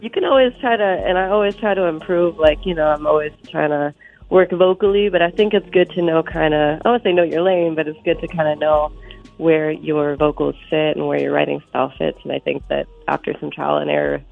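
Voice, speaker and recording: A woman with a stuffy, slightly sick voice speaks softly and loosely through a thin, tinny phone line, with a gravelly edge to her speech. Faint music sits under the call. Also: low-pitched, a little fast. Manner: soft-spoken, relaxed, many fillers. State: stuffy from a cold. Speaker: musician guest. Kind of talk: live radio phone interview.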